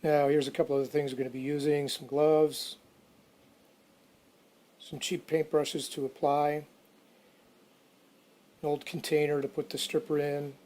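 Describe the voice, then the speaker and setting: A man's voice: on the high side, little pitch movement, unhurried, long pauses, a little mellow. A man giving casual how-to narration for a DIY project, informal and unscripted, as if thinking aloud. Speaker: DIY restoration video narrator.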